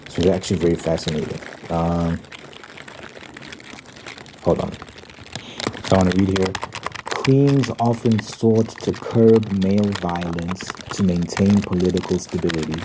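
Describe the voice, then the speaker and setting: Low-voiced man rambling in ASMR style. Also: unhurried, pauses often, noisy background. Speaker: host of an ASMR rambling channel. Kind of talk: ASMR ramble with reading aloud.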